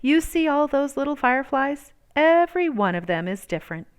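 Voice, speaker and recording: A woman voicing a gentle, wise old grandmother from a storybook, speaking in a tender, reassuring and emphatic way. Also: high voice, big pitch swings, moderate pace, pitched above the performer's own voice.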